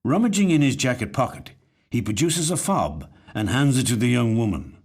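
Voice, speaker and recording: A man reading a literary short story as scripted narration, with measured, dramatic, deliberate phrasing. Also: mid-range voice, sing-song, darkish tone, slightly rough. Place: recording studio.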